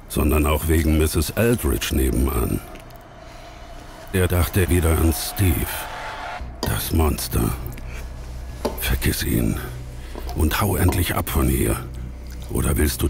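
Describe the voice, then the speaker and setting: Brooding thriller narrator, extremely low, creaky voice, audio drama, clear ambient noise behind. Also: male voice, heavily acted. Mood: bitter, urgent. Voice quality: very deep, gravelly.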